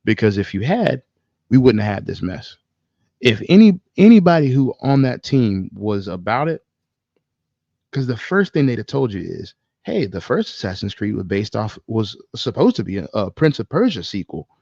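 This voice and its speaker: A man's voice: on the low side, very animated, moderate pace, creaky, a little mellow, no echo, muffled recording. A man co-hosting a podcast, talking casually and bluntly with slang, swearing and strong opinions. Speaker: podcast co-host. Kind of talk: casual film discussion.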